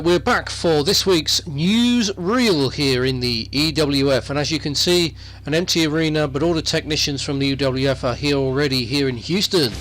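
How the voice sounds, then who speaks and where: Male wrestling newsreel host, mid-pitched, informal and unscripted, hesitant and rambling delivery with repeats, clear music underneath. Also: rough recording. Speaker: wrestling news host and commentator. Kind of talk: informal unscripted news roundup. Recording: music playing behind the voice.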